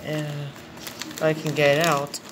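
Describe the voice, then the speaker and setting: A man with a fairly high voice talks through an unboxing, excited and thinking aloud as he goes. He films on a handheld phone in a tiled indoor room. Steady room noise sits clearly under his voice. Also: measured pace. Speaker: unboxing video host. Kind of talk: casual unboxing narration. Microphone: handheld phone camera. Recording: noisy room ambience.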